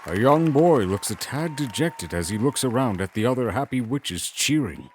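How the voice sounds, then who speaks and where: A man's voice: medium pitch, expressive, moderate pace, breathy edge, a faint crowd. A man narrating a scripted fantasy story in a dramatic storytelling style.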